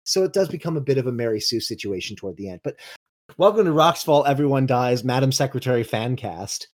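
A man's voice: medium pitch, lively intonation, fast talker. An American man co-hosting a podcast in casual conversation, explaining things in a discursive, wry way. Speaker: podcast co-host.

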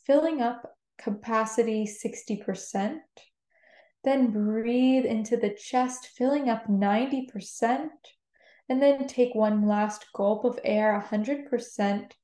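A woman leading a guided meditation with gentle, soothing instructions. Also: fairly high pitch. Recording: roomy, echoing sound.

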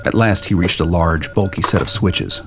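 Deep-voiced male narrator of audio drama. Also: fairly even intonation, normal speed, over music.

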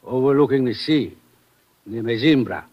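An actor playing a curt, secretive foreign smuggler with formal, measured phrasing in an old-time radio drama. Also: male voice, medium pitch, lo-fi sound, lightly acted. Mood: guarded, terse. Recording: vintage radio drama recording.

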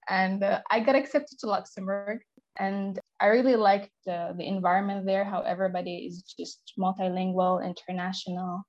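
A woman's voice: mid-range voice, fairly even intonation, normal speed, darkish tone, no room sound, highs cut off. Young woman explaining, dry close sound. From Israel. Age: young adult. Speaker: interview guest. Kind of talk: conversational interview.

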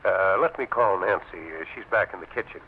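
A man's voice: low-pitched, a little fast, phone-quality sound, lightly acted. An actor playing a kindly, plain-spoken middle-aged father in an old radio serial, homely and hesitant in his talk. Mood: concerned, welcoming. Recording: thin, hissy old radio recording.

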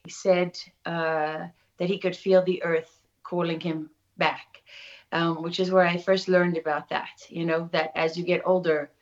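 Lower-pitched South African woman, slightly muffled audio. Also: flat delivery, unhurried. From Durban, South Africa. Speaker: interview guest, journalist. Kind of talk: conversational interview.

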